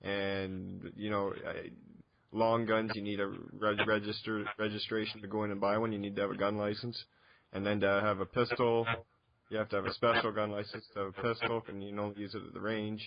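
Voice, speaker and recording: Gravelly Canadian man, low and close. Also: flat delivery, fairly quick, dry recording. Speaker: radio interviewer. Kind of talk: casual, profane interview chat. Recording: dull, lo-fi close recording.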